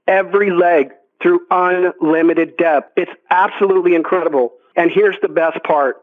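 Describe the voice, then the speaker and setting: A man hosting a sales conference call, pitching earnestly and emphatically to members. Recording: tinny phone conference line.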